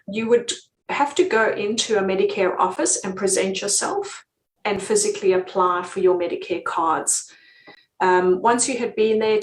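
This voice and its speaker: A British-accented woman giving casual relocation advice on a live stream, chatty with frequent ums. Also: mid-range voice. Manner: chatty, many ums, laughs easily. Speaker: relocation consultant. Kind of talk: live-stream advice reading viewer comments. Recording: echoey room.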